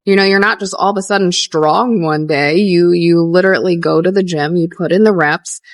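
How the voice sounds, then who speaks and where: Give me a woman coaching, low-pitched and dry. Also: moderate pace, no room sound. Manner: earnest, repetitive coaching with lively rises when answering. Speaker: manifestation coach on a livestream. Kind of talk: casual livestream teaching, reading viewer questions.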